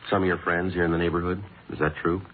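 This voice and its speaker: An actor playing a dry, businesslike detective sergeant in a vintage radio police drama, clipped and deadpan. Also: male voice, low voice, fast talker, a little hiss or hum, close to an everyday voice. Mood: probing. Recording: muffled old-time radio recording with music.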